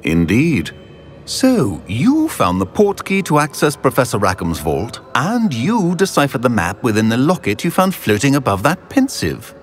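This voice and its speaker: Stately old wizard professor. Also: male voice, mid-range voice, huge pitch swings, faint music behind. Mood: formal, intrigued. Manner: formal, measured, authoritative. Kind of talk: video game cutscene acting.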